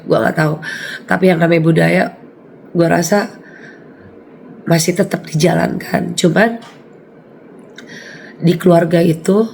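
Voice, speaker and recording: Hoarse, raspy woman in her forties. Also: fairly low pitch, a little mellow, slight background noise. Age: 40s. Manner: chatty, laughs often, slangy. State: hoarse from a cold. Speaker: vlogger. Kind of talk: casual chat to viewers.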